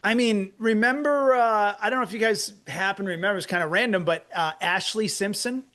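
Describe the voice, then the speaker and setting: A man's voice: high voice, brisk, sharp tone. Guy co-hosting a comedy podcast, stumbles over his words a lot, dry jokes. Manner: hesitant, stammering, wry jokes. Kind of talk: casual comedic chat.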